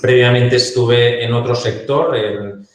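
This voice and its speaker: A man's voice: mid-range voice, steady tone, very echoey. A man introducing himself as a finance director on a webinar panel, hesitant with many restarts. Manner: slow, hesitant, light humor.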